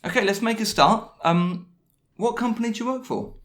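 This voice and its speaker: A man hosting a podcast, asking a guest a list of questions in a brisk, lightly teasing way. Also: high-pitched, a bit bright. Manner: brisk, scripted intro then questions. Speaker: podcast host. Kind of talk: podcast interview.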